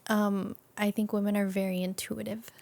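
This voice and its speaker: A woman's voice: mid-range voice, little pitch movement, moderate pace, creaky, a little mellow. A woman speaking as an interview guest in a casual, reflective conversation, explaining informally.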